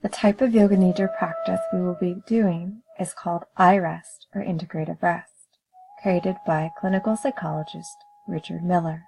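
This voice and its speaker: A woman leading a guided meditation in a calm, soothing, measured manner with gentle pauses. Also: on the low side, creaky, low-quality recording.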